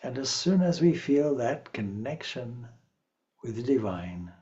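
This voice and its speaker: A man leading a guided meditation as a spiritual teacher, speaking calmly and in a measured way with long pauses. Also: mid-range voice, muffled recording.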